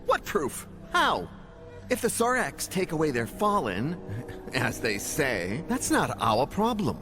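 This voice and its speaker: An actor playing a pompous, dismissive and skeptical council lord in a video game, speaking formally and sardonically. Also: male voice, a little high, wildly swooping pitch, slow, full character voice. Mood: sardonic. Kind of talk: video game cutscene acting. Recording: game music under the voice.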